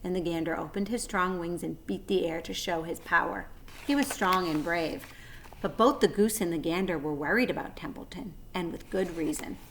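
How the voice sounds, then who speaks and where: A woman narrating a children's story with deliberate, expressive phrasing. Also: on the low side, slight background noise. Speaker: read-aloud narrator.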